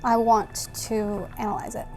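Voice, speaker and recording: A woman with a fairly high voice talks casually as a player in a tabletop role-playing game. She thinks aloud in a deliberate, analytical way. Quiet music sits behind her. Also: level tone.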